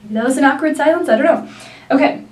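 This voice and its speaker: High-voiced young woman, stuffy nasal, rambling hair vlog, echoey room, mic kinda far. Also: fast talker, darkish tone, a little hiss or hum. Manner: chatty, rambling, lots of likes and ums. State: nasal from a sinus infection. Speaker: beauty vlogger. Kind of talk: casual hair tutorial.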